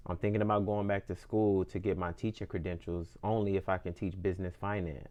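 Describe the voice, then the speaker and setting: A man's voice: low-pitched, little pitch movement, brisk, darkish tone. A man in his twenties talking earnestly about his music and goals in a reflective personal monologue, often repeating and restarting phrases as he thinks aloud. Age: 20s. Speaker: independent musician.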